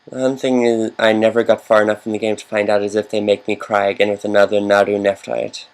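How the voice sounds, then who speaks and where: A man with a fairly low voice talks casually over a video game. He rambles like a devoted fan, drifting from one aside to the next. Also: little pitch movement, brisk, low-quality recording. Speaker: game commentator.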